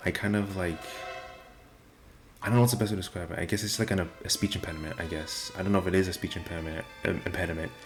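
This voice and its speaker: A young man with a deep voice and nonstandard R sounds, talking casually and self-deprecatingly to the camera. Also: steady tone, quick pace. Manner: rambling, self-deprecating, many restarts. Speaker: vlogger. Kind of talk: casual rambling monologue. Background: video game music playing.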